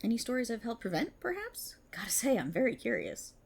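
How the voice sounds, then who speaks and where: A woman plays a vindictive, smug female cop of the multiverse in an ASMR roleplay. Her delivery is theatrical and mocking, with pitch that swings widely. The voice has a slightly husky, breathy edge as she lords it over a writer. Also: sing-song, fairly quick, heavily acted. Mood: gloating, vindictive.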